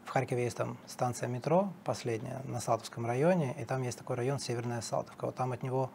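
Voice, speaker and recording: Middle-aged male analyst, emphatic, rapid delivery, slight rasp, lapel mic in studio. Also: mid-range voice, steady tone, a little mellow, a little hiss or hum. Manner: emphatic, many fillers and restarts. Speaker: political analyst guest. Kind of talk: studio interview.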